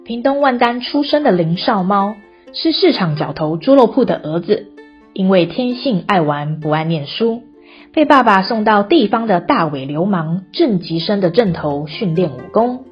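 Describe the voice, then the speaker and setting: A woman narrator tells a scripted radio story in a Taiwanese Mandarin accent. Her pitch is mid-range. Faint music plays under a slightly muffled recording. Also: expressive, normal speed.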